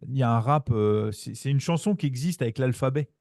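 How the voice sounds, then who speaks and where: A man hosting a podcast in casual chat, relaxed and steering the conversation. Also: mid-range voice, a little fast, creaky, no echo, clean studio sound. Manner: relaxed, leads the talk. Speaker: podcast host.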